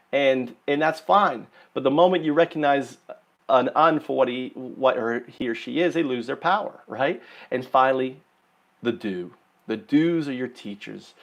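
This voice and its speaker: A man's voice: mid-range voice, creaky. A man talking in a loose, rambling spiritual monologue, full of restarts and "right?" tags. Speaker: channel host.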